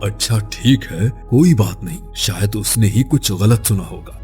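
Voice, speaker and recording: A man playing a gullible village chieftain in a cartoon story, speaking with plain, measured authority. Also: on the low side, over music, lightly acted. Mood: placated. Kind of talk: Hindi cartoon story voice acting.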